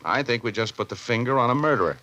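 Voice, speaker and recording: A man's voice: mid-range voice, lively intonation, fast, pushed and bright. A man playing a cocky, flirtatious private detective in 1940s radio drama style, wisecracking in slangy banter and narration. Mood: brisk, cocky. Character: wry, hard-boiled male private detective, flirtatious and cocky. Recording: vintage radio broadcast with music.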